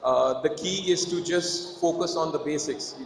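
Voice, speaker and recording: A cricketer giving practical advice at a gala question session, talking steadily with many fillers. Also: male voice, fairly high pitch, measured pace, creaky, slight background noise. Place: banquet hall. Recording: echoey hall reverb.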